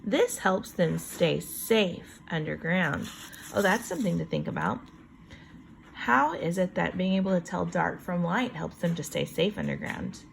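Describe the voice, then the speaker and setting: A woman teacher reading a children's book aloud, reading deliberately and adding chatty, wondering asides about it. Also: fairly low pitch, lively intonation, vocal fry, slight background noise, low-quality recording.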